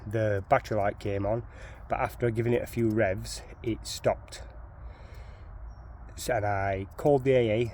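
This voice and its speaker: A man's voice: on the low side, darkish tone, slight background noise. Chatty Sheffield man fixing his van. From Sheffield, England. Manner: chatty, rambling, repeats words. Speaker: DIY van owner. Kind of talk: casual how-to walkthrough.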